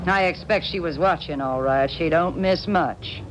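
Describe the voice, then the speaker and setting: A woman's voice: fairly low pitch, moderate pace, natural voice. An actress playing a tough, grasping old American country woman in a radio drama, with blunt, folksy, drawling speech. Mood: grumbling, wary. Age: older. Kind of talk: 1970s radio drama acting. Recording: noisy old radio broadcast recording.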